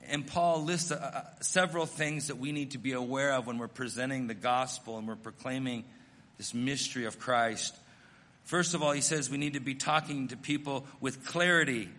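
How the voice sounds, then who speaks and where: A man's voice: mid-range voice, bright, forceful tone. Earnest preaching man in a hall. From United States. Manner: earnest, self-deprecating storytelling. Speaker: missionary pastor. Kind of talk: sermon.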